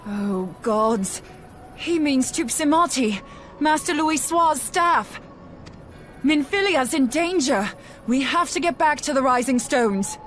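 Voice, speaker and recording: Unhurried, sardonic fantasy warrior. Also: female voice, high-pitched, expressive, a bit bright, a little hiss or hum, full character voice. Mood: alarmed. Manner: wry, blunt, colloquial. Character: brash, sardonic female fantasy warrior, plain-spoken. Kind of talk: video game character acting.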